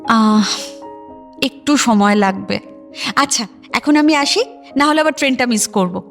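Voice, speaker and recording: An actress playing a shy, flustered, dutiful young daughter in an audio drama, speaking hesitantly. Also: female voice, a little high, a little slow, soft background music. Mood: dutiful, hurried. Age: young adult.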